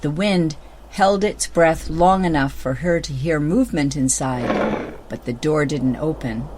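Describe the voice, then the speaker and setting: A woman's voice: low voice, a little slow. A woman narrating a children's story from a script. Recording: clearly noisy background ambience.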